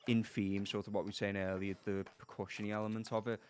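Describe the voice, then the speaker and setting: Scouse male audio designer, low voice, relaxed explainer, livestream chat. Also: fast talker, a little hiss or hum. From Liverpool, England. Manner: easygoing, explains in loose trailing phrases.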